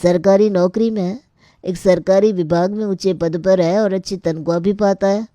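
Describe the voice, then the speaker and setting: A woman voicing a polite male matchmaking priest in a storybook tale, measured and reassuring. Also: medium pitch, steady tone, mellow, dry recording, natural voice.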